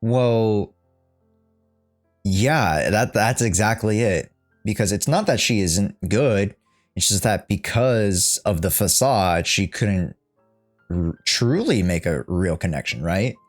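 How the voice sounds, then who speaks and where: Low-pitched man giving blunt, casual reaction commentary. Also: lively intonation, slow, faint music behind. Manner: blunt, swearing, repeats phrases as he reasons aloud. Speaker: streamer reacting to a video game story.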